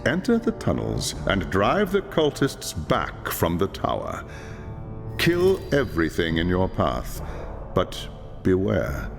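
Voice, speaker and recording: A grave elder elf lore master. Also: male voice, low voice, wildly swooping pitch, creaky, heavily acted. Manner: grave, commanding, measured. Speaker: quest giver. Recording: reverberant with game music behind.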